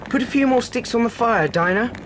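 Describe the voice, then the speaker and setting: A man's voice: very high voice, lively intonation, fairly quick. A male actor playing a confident, reassuring British schoolboy in a children's adventure audio drama. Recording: noisy old cassette recording.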